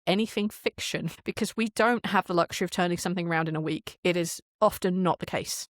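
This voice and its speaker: A woman's voice: on the low side, fast talker, dry recording, clean studio sound. A woman speaking informally as a podcast guest, candid and explaining things at length with rhetorical questions. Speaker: podcast guest, indie audio drama producer. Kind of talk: casual interview chat.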